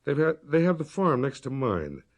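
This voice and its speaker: A man's voice: on the high side, very animated, normal speed, pitched above the performer's own voice. A man voicing an earnest, troubled, plain-spoken local farmer in a story reading. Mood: earnest, halting.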